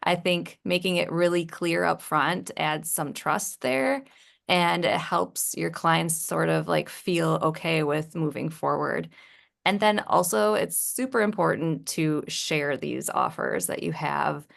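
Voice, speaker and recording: A woman's voice: deep voice, slow pace, creaky. A woman speaking as a webinar guest, giving casual, candid advice in an informal way.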